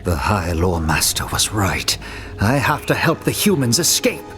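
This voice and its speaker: A man voicing a principled, cautious mage in a video game drama, speaking in a measured, formal, earnest way. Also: lowish voice, big pitch swings, fairly bright tone, soft background music. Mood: determined. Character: principled, cautious male spellcasting adventurer.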